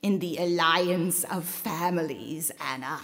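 An actress playing a patient, pragmatic and wry convent nun in an audio drama, gently admonishing. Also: female voice, low-pitched, takes it slowly, creaky, heavily acted. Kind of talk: full-cast audio drama. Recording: long hall reverb.

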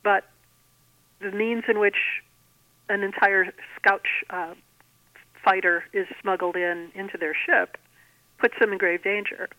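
A woman speaks over a narrow telephone line with little room sound. Her pitch sits in a middle range, and she explains her writing in a measured, conversational interview manner. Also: fairly even intonation, dry recording. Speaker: guest author. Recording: thin, band-limited telephone line.

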